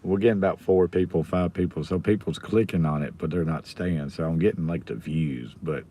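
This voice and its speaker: Hoarse, raspy deep-voiced man. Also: brisk, warm tone. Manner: rambling, chatting with viewers by name. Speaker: live stream host. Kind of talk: casual live stream chat.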